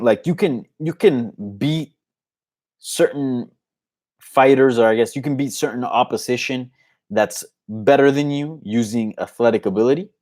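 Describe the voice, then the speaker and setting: A man's voice: medium pitch, a little mellow. A man from Colombia whose first language is Spanish, hosting a casual, unscripted live Q&A in an informal, conversational way. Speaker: host of an MMA live Q&A show.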